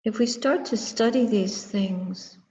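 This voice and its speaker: A woman leads a meditative dharma reflection at an extremely slow pace. Her voice is dark and soft-edged, and she speaks in short, measured phrases separated by long pauses. The recording carries a long, hall-like reverb. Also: on the high side, highs cut off. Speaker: Buddhist nun and meditation teacher. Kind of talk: guided meditation and dharma talk.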